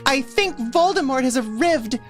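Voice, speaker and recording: Woman putting on a high, overwrought goth girl. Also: animated, moderate pace. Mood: anxious. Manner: overwrought, exclaiming. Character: melodramatic, angsty teenage goth girl narrator-heroine. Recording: music behind the voice.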